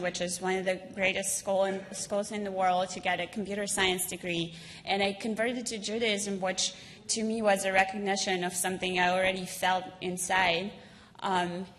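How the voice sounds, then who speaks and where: A woman of about thirty with a Ukrainian accent gives a personal speech as a guest at a dinner event, earnest and halting, repeating words as she goes. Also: medium pitch, fairly bright tone. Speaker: guest speaker, school alumna. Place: large indoor event hall. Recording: noisy, reverberant hall recording.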